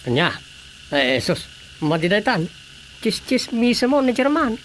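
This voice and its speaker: A woman's voice: a little high, very animated, measured pace. A woman playing a nosy, incredulous villager in a Filipino radio comedy drama, questioning and exclaiming with a Filipino accent. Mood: curious, incredulous. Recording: noisy background with insect and animal ambience.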